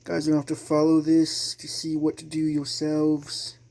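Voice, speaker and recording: A young man doing casual gameplay commentary as a channel host, halting and sometimes muttering. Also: a little high, completely flat delivery, creaky, darkish tone, a little hiss or hum.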